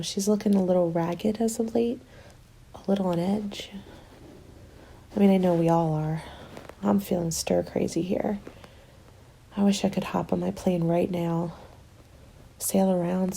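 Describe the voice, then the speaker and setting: A woman playing a weary survivor of a zombie winter in a soft, breathy, confiding ASMR roleplay. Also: lowish voice, fairly even intonation, a little slow, pauses often, low-quality recording. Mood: weary, restless.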